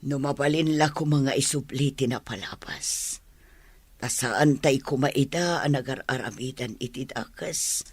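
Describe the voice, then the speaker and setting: A woman with an Ilocano accent playing a caring, remorseful mother, pleading and husky, in an audio drama. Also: rumbling low, unhurried, no room sound, full character voice. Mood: regretful. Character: caring, remorseful middle-aged mother and wife.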